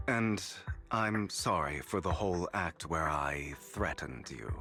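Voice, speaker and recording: Theatrical, slow-talking smooth con artist. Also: male voice, fairly low pitch, fairly bright tone. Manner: dramatic, measured, teasing delivery. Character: suave theatrical young male master con artist, smug. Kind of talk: video game voice acting.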